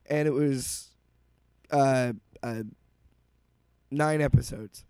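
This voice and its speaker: A man co-hosts a casual podcast, his voice hoarse from a cold and dark in tone. He rambles and restarts his sentences often. The sound is dry and close. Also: mid-range voice, steady tone, extremely slow, no echo. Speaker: podcast co-host. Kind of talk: casual chat.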